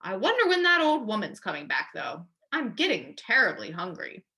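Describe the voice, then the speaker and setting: Woman doing a hungry, puzzled bear, lively. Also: medium pitch, moderate pace, exaggerated voice. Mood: grumbling, hungry.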